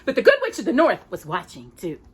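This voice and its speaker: A woman telling a children's story in an expressive, playful way, with casual asides. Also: medium pitch, fast talker, breathy edge.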